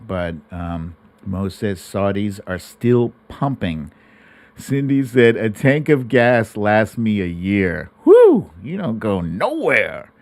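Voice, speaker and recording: Animated, slow-paced middle-aged man livestreaming. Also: fairly low pitch, dry recording. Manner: animated, colloquial, salty street-talk phrasing. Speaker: livestream host. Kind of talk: casual livestream commentary, chatting with viewers. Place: home studio room, indoors. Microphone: close broadcast mic on boom arm.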